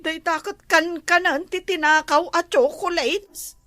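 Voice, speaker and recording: A woman's voice: extremely high pitch, fairly even intonation, measured pace, bright, forceful tone, dry recording, studio quality, full character voice. A young woman playing a gullible village sweetheart who talks in a bubbly, easily surprised way. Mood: chatty.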